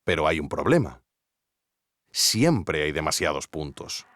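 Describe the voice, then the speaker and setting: Male investigative narrator, Spain accent, low register, animated delivery for audio documentary. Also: measured pace, background noise, heavily acted. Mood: measured, wry. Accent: Spanish from Spain. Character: male investigative journalist narrating his inquiry.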